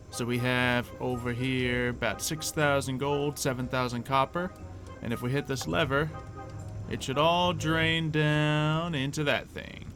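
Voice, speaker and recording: Chatty man narrating gameplay over music. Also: medium pitch. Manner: chatty, explanatory. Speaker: gaming video host. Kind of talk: casual let's play commentary. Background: background music.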